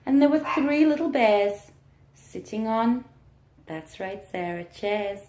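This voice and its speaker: Slow, higher-voiced woman teacher in a roomy space. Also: lively intonation, a little mellow, slight background noise. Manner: encouraging, with questions and deliberate pauses. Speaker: early childhood teacher reading aloud to a class. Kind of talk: storytime read-aloud to young children. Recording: roomy, echoing room sound.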